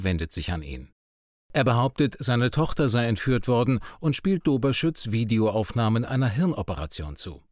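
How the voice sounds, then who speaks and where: A man reading a scripted radio drama recap as announcer, in a measured, factual manner. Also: mid-range voice, no room sound, clean studio sound.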